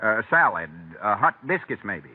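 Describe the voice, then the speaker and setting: Small-town schemer, Southern country drawl, folksy grammar, animated delivery, thin old radio sound. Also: male voice, lowish voice, normal speed, phone-quality sound. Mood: relieved. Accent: rural Southern US. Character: flustered, scheming small-town man planning a fancy dinner. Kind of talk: old-time radio comedy acting.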